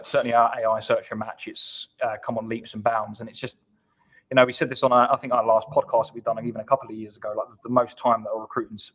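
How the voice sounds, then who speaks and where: A man with a husky voice explaining his points in a conversational business interview, emphatic and often repeating words as he builds them. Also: mid-range voice, low-quality recording. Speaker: guest account executive at a software company. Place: online live video call.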